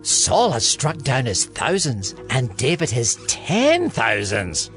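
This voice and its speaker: A man's voice: medium pitch, wildly swooping pitch, takes it slowly, fairly bright tone, full character voice. Suspicious palace servant, guy acting, voice swings all over, some music under. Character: suspicious royal servant, accusing. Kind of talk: dramatized Bible story acting.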